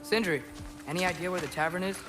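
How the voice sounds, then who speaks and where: An actor playing an eager, polite but cheeky teenage son in a video game, informal and inquisitive. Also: male voice, sits high, fast talker, over music, lightly acted, rough recording. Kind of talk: dramatic video game acting.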